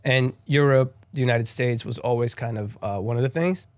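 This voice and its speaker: A man's voice: mid-range voice, normal speed, dry recording. A young man from Brazil, a guest in a casual interview, telling his story with lots of fillers and restarts. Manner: rambling, many fillers and restarts.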